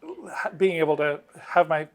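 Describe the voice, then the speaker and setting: A middle-aged man with a somewhat high voice talks as a game designer being interviewed. He explains things in a casual, enthusiastic way. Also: sing-song, measured pace, vocal fry. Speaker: game designer interviewed about his work.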